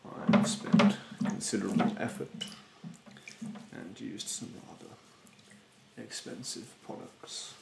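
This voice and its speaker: Soft, very creaky-voiced haughty young man. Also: on the low side, slow pace, echoey room, very noisy. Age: 20s. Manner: soft-spoken, breathy, often near a whisper; haughty, condescending, politely insulting. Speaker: ASMR roleplayer playing a rude beautician. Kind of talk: ASMR roleplay. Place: small bedroom, indoors.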